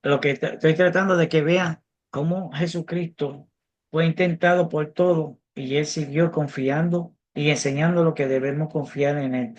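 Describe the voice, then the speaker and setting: Bilingual man teaching a Bible class. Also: a little high. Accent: English-speaking, Cuban-learned Spanish. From United States. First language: English. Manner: earnest, repeats words when searching. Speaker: theology teacher. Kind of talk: interactive online Bible class.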